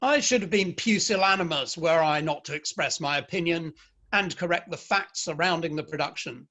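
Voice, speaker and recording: A proud, stern Victorian father and man of letters, acted by a man in a play reading. His voice is notably high for a man. He speaks formally and emphatically, with firm opinions. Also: moderate pace, pushed and bright. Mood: indignant, blustering.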